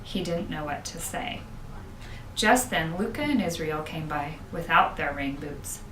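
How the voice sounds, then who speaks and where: A woman reading a children's book aloud with expressive storytelling, as a school principal would to pupils. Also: sits low, unhurried. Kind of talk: children's audiobook narration. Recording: roomy, distant, noisy home-style recording.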